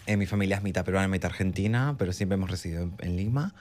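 A man from Peru, a drag performer telling his story in a lively way. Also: deep voice, racing. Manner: lively storytelling with acted quotes.

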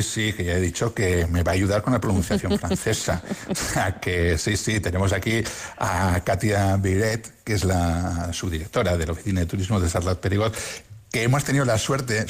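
A man hosting a travel podcast, chatting casually in a rambling way with lots of fillers. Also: fairly low pitch. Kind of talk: casual chat.

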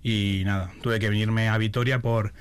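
A man's voice: lowish voice, level tone, moderate pace, clean tone. A young man from Argentina speaking casually as an athlete being interviewed. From Buenos Aires, Argentina. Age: young adult, about 19. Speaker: athlete guest, shot putter.

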